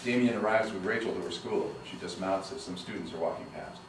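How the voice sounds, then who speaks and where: Deep-voiced man reading stage directions. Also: fairly quick. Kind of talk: screenplay table read narration. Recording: echoey room from a distance, noisy.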